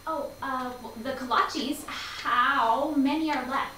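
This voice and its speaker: A bold young woman played by an actress, coaxing and playful in a staged comedy. Her voice is high-pitched and comes from a distance in an echoey room with faint noise. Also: slow, bright, forceful tone, heavily acted. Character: bold, cheeky young woman bluffing as a sweetheart. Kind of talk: staged play reading.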